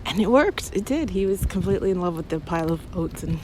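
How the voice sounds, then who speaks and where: A woman's voice: fairly low pitch, lively intonation, fast, darkish tone. A woman chatting casually and intimately, as if to a partner, in a rambling, hesitant, giggly way while out on a bike path. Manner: giggly, hesitant, trailing phrases. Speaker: audio roleplay creator playing a loving girlfriend. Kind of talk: casual rambling chat, intimate one-sided companion talk. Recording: noisy outdoor ambience, passing vehicles. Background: traffic and environmental noise.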